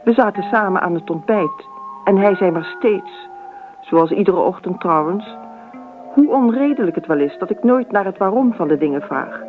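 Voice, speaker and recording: An actress playing a dreamy, imaginative, talkative wife in a radio drama, with expressive theatrical delivery. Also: female voice, medium pitch, normal speed, over music, natural voice. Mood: recounting, indignant. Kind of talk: radio drama monologue and dialogue. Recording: tinny, narrow old radio recording.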